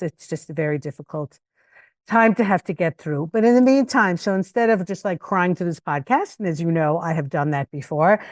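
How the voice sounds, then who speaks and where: An older woman hosting a podcast interview, chatty and expressive, who asks questions eagerly. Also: lowish voice, dry recording, studio quality. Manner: chatty, expressive, quick to laugh. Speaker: podcast host. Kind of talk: casual interview.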